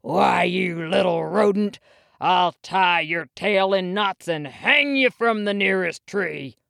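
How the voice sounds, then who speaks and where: Lady doing a grumpy male bear bully, blustery cowboy talk, low, full of himself. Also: takes it slowly, creaky, dry recording, clean studio sound, exaggerated voice. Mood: angry. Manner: blustering, folksy western talk.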